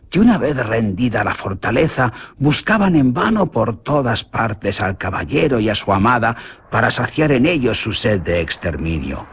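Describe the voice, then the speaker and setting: Man narrating a spooky radio drama, very expressive, lots of hiss in the recording. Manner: theatrical, with big swings in pitch.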